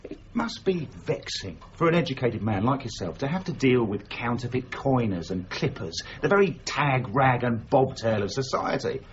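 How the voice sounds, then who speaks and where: Glib flattering schemer, played by a man, cocky and animated, period radio drama with some noise. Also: mid-range voice, normal speed, few pauses, highs cut off, natural voice. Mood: flattering, oily. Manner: smooth-talking, cocky, ingratiating.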